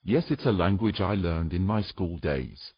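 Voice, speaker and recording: Man playing a husky, wary fantasy hero. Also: low voice, animated, moderate pace, no room sound, lo-fi sound. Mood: grave. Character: wary, stubborn educated man, reluctant ally of a mermaid. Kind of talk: dramatic audio fiction acting.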